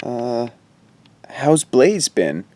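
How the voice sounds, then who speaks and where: A man's voice: medium pitch, very animated, drawn-out words, warm tone, no echo. An actor playing a theatrical, uneasy male follower in an audio drama. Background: music behind the voice.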